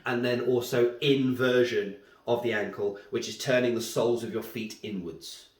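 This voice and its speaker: A man's voice: mid-range voice, steady tone, moderate pace, a little mellow. Man, congested with a cold, anatomy lesson at a board, roomy echo. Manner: keeps checking in with a questioning "yeah?". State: stuffy from a head cold. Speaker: anatomy teacher. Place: room with a drawing board. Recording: echoey room.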